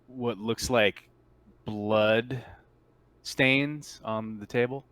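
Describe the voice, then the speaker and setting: A man speaking as game master, casually narrating a tabletop roleplaying game and pausing to think as he describes things. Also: mid-range voice, fairly even intonation, fairly bright tone, clear, resonant tone.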